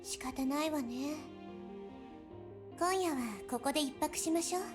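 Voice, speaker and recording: A woman's voice: high voice, fairly quick, lightly acted. A woman voicing a proud, teasing seventeen-year-old girl in love in a visual novel. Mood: flustered, teasing. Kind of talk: Japanese visual novel voice acting. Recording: game music over the voice. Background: visual novel music.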